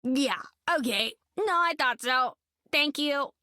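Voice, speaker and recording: A woman voicing a bubbly young heroine with squirrel friends, rambling excitably in a video game. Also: high voice, takes it slowly, no echo, clean studio sound, full character voice.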